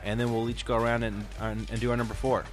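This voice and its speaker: A man's voice: medium pitch, level tone, fast talker, soft background music. A man hosting a casual podcast discussion, talking in an explanatory and encouraging way. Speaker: podcast host.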